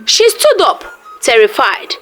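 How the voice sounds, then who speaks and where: A female storyteller narrates a scripted folktale. Her voice is fairly high and extremely expressive, rising and falling dramatically, with music playing behind it. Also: a little slow.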